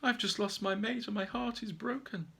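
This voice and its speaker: A man voicing a small, sad, friendly sun bear with a husky, high, plaintive voice. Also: normal speed, a bit bright, full character voice.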